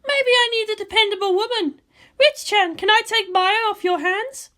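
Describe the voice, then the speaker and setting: A man's voice: very high-pitched, normal speed, bright, forceful tone, pitched above the performer's own voice. A man voicing a brash, world-weary young woman officer with an emotional, teasing manner in a fan fiction reading. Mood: sly, playful.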